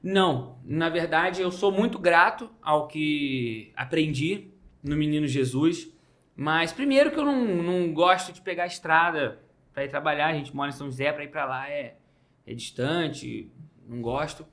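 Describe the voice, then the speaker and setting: A young doctor from Rio de Janeiro with a mild Carioca accent, telling stories and joking in a casual podcast chat. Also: male voice, fairly high pitch, normal speed. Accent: mild Carioca Brazilian Portuguese. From Rio de Janeiro, Brazil. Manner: joking, animated storyteller. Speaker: pediatric intensive care doctor, guest.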